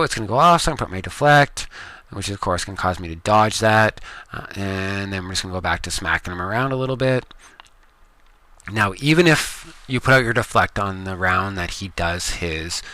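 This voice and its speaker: A Canadian man giving relaxed, rambling gameplay commentary from his home room, with plenty of ums. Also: sits low, no room sound. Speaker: gaming video creator.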